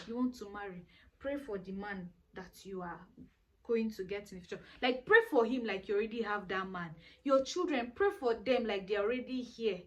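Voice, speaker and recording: A woman's voice: fairly high pitch, low-quality recording. A woman in her twenties talking to camera in a casual vlog, giving earnest, preachy life advice and repeating herself for emphasis. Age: 20s. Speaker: vlogger.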